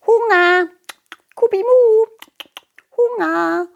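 A woman voicing an excitable, childlike, friendly cow-spotted ghost that wails a nonsense word in drawn-out cries. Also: very high voice, vocal fry, dull audio, voice pushed up, heavily acted. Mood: hungry.

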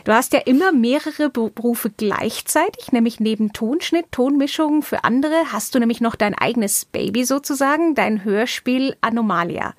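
A woman's voice: on the high side, normal speed, hardly any pauses, no room sound, clean studio sound. A woman hosting a conversational interview, speaking informally to her guest. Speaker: podcast interviewer.

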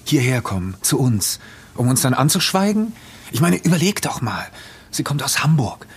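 A man's voice: medium pitch, sing-song, moderate pace, breathy edge, full character voice. An actor playing a thoughtful, suspicious man among friends in a naturalistic audio drama. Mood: suspicious, pondering.

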